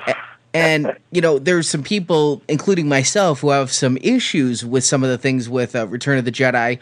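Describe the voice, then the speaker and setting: Mid-pitched man hosting a conversation. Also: fairly even intonation, normal speed. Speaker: interviewer, host. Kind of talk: conversational commentary, giving background and opinion.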